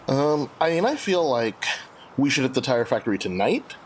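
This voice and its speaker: A man's voice: medium pitch, big pitch swings, moderate pace, a little hiss or hum. A man discussing plans casually as a player in a tabletop roleplaying game.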